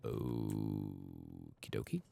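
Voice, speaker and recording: Very deep, soft-spoken man murmuring over a game. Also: extremely slow, creaky, a little hiss or hum. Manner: casual and sweary, muttering to himself. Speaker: streamer playing a role-playing video game. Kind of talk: soft-spoken ASMR gameplay commentary.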